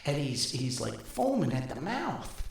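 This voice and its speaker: A man's voice: mid-range voice, big pitch swings, unhurried, gravelly, slight background noise. An older man hosting a podcast, telling humorous anecdotes in a folksy way and acting out the dialogue. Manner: folksy, chuckles often, acts out dialogue. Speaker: podcast host. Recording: very roomy, echoing sound.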